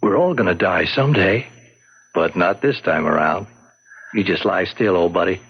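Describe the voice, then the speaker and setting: An actor playing a hearty middle-aged war veteran and loyal buddy in a 1970s radio drama, bluff and joking with a gravelly, husky voice. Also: male voice, low-pitched, normal speed, exaggerated voice. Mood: reassuring. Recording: dull lo-fi old radio broadcast.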